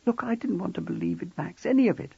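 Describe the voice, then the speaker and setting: An audiobook reader, a man, voicing a frightened, tearful pregnant young wife who talks softly and tremblingly. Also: high-pitched, quick pace, vocal fry, breathy edge, no room sound, voice pushed up, heavily acted. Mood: tearful, scared. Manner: soft, trembling and sniffly. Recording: dull, dry lo-fi recording.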